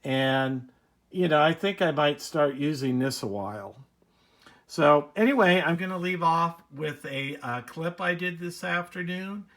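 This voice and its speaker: A man giving a casual, unhurried, rambling demonstration as a hobbyist presenting a video. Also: a little high, a bit bright.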